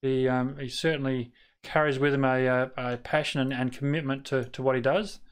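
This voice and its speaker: A man's voice: medium pitch, flat delivery, clear, resonant tone. Rambling Australian man streaming a game. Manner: rambling and halting, many fillers and restarts, mutters at the game. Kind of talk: casual live-stream chat while playing.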